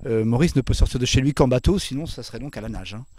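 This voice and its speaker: A man's voice: medium pitch, fast talker, darkish tone. A man interviewing a guest, asking polite questions in formal address.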